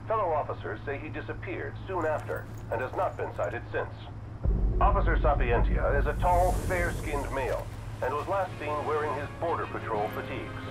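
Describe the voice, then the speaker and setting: An actor playing a male news announcer, reading a scripted bulletin in a formal, measured manner. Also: medium pitch, background noise, natural voice. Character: brisk male radio news announcer in fantasy city.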